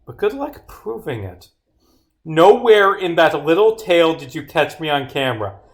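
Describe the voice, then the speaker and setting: A man's voice: a little high, very animated, normal speed, echoey room, voice pushed up. A man voicing a smug, theatrical female murderer who gloats and taunts in a visual novel game.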